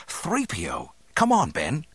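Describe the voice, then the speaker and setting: An excitable young farm lad, acted by a male narrator, earnest and eager in a storybook adventure. The voice is lifted higher and is a little husky, with lively swings. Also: unhurried, no echo, heavily acted. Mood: alarmed.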